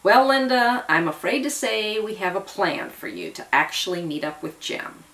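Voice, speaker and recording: A polite, businesslike man from a dramatic story, voiced by a woman. He gives secret instructions in a measured, serious manner. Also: medium pitch, animated, creaky, fairly bright tone, low-quality recording. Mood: serious, cautioning.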